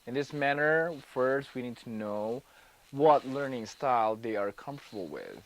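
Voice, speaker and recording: A man's voice: mid-range voice, big pitch swings, rough recording. A young man from Indonesia talks through his studies as a guest on a homemade TV-style interview. He explains carefully, often stopping to restart a word mid-sentence. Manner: careful, halting delivery with restarts. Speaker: student guest. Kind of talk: mock TV interview, explaining coursework.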